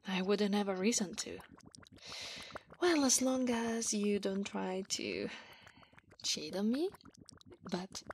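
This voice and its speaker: A woman plays a centuries-old slime wife in an ASMR roleplay, clingy and teasing. She speaks slowly in an intimate half-whisper that often crackles into fry. There is clear noise behind the close-up voice. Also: mid-range voice, lively intonation. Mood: tender, teasing. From Italy. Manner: soft, breathy half-whisper, intimate, coaxing.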